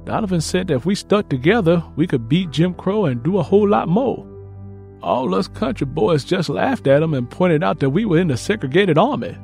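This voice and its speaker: A man's voice: high voice, animated, brisk, gravelly, a little mellow, faint music behind. A man playing an earnest young Mississippi field hand turned soldier, reading out letters home with plain rural phrasing in an audiobook. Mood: earnest, reflective. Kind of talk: first-person letter read in audiobook.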